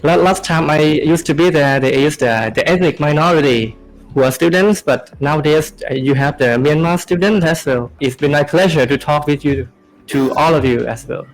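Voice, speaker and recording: A young man hosting a casual podcast, talking in halting phrases with many fillers. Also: on the high side, soft background music, poor audio. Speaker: podcast host.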